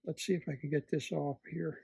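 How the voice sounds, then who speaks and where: A man at an indoor workbench gives an unscripted, hands-on repair demonstration, thinking aloud in a halting way as he works. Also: fairly high pitch, flat delivery, vocal fry, darkish tone, slightly rough, dry recording. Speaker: electronics repair hobbyist presenting.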